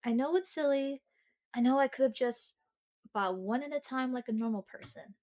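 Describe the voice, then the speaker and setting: High-voiced, sheepish sweet girlfriend played by a woman. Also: darkish tone, close to an everyday voice. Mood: earnest, bashful. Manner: chatty, halting, bashful with dramatic mock seriousness. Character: sweet sheepish girlfriend, earnest, playfully self-mocking. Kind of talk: ASMR girlfriend roleplay, acted monologue to the listener.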